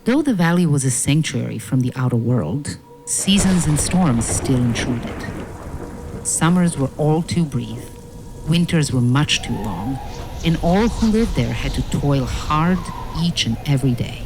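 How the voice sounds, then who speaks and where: A woman narrating a fairy-tale audio play in a measured, solemn storytelling manner. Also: rumbling low, animated, background noise, exaggerated voice. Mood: gentle storytelling. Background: music.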